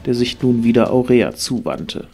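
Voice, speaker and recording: Male fantasy audio drama narrator, medium pitch. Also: animated, normal speed, dark tone.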